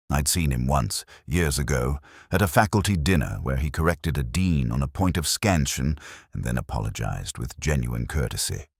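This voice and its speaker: A very low-voiced, melancholy older retired inspector acted by a man narrates a literary detective story. His delivery is measured and erudite, with lively rises and falls and a wry, wistful turn. The sound is close and dry. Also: no echo, full character voice. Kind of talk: literary first-person detective drama monologue.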